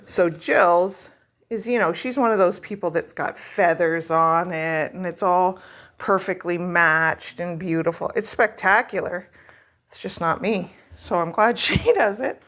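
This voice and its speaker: A woman's voice: on the low side, unhurried, a bit bright. A middle-aged woman chatting casually at home as a vlog host, rambling and self-deprecating while she decorates.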